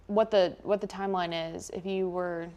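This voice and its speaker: Young American woman, lowish voice, chatty. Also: steady tone, normal speed, darkish tone, highs cut off. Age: young adult. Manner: chatty, informal, peppered with "like". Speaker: local TV station chat host. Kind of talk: casual unscripted chat.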